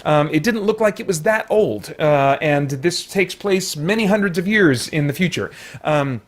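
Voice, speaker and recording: A man hosting a casual spoken movie review, rambling along with jokey, animated asides. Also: on the high side, moderate pace. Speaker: film reviewer and host.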